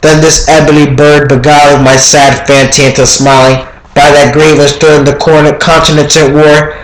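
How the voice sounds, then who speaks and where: A man giving a deliberate, theatrical recitation of a Gothic poem, stumbling over words at times. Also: fairly high pitch, level tone, roomy sound, low-quality recording. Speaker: amateur poetry reader.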